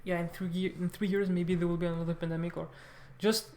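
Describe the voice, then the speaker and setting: A man talks off the cuff to his viewers. He speaks haltingly, circling back and restarting his phrases as he rambles. The recording has a clear room echo around his voice. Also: high-pitched, level tone, a little mellow, a little hiss or hum. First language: French. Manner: halting, rambling, repeats words and corrects himself often. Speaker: vlogger, language learner. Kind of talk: casual unscripted monologue to viewers.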